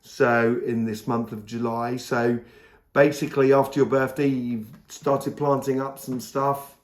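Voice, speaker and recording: A hoarse man giving a casual, rambling tarot reading, full of fillers and restarts. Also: mid-range voice, flat delivery, a little slow, reverberant. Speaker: tarot reader.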